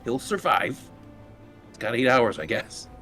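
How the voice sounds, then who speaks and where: A man's voice: medium pitch, big pitch swings, unhurried, pushed and bright. Casual male roleplayer over faint music. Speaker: tabletop game player. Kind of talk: casual roleplay table talk.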